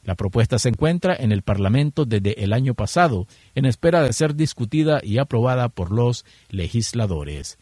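A man's voice: mid-range voice, big pitch swings, normal speed, hardly any pauses, darkish tone, no echo. Male radio reporter, dry sound. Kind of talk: scripted radio news report.

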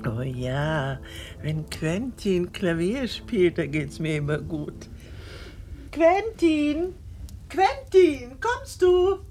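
Dithery elderly aunt for radio play: effusive, very lively melody, slow delivery. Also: female voice, medium pitch. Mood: chatty, fussy. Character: gushing, fussy, sentimental old aunt. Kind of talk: German radio drama acting.

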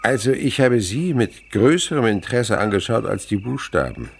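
An actor playing a kindly older naturalist in a radio play, talking in a gentle, musing storyteller's way. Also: male voice, lowish voice, big pitch swings, normal speed, vocal fry. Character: kindly older French naturalist, widower, indulgent with a boy. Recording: faint background noise, night insects chirping. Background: crickets and animal sounds.

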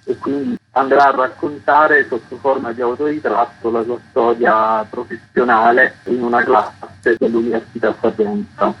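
Guy, bit raspy, chatting on radio about an event he organises, muffled lofi audio. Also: mid-range voice, fairly even intonation, moderate pace. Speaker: interview guest, event organiser. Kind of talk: radio interview, casual. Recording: dull, narrow-band phone-style radio sound.

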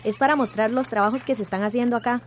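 An actress playing an upbeat, energetic community organizer with a Central American accent and an eager, chatty manner in a radio drama. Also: female voice, a little high, fast, close to an everyday voice. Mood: excited.

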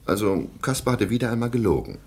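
An actor playing a stern middle-aged baron and guardian in a radio play, formal and disapproving. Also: male voice, lowish voice, big pitch swings, fast, a little mellow.

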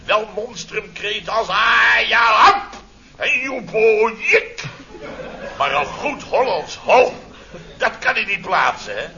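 A man's voice: high-pitched, expressive, takes it slowly, vocal fry, shouting, full character voice. An actor playing a blustering, sarcastic middle-aged contractor boss, telling his mishaps theatrically in a radio comedy serial. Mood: indignant, mocking. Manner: theatrical, exclamatory, sarcastic storytelling. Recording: dull, noisy old radio recording.

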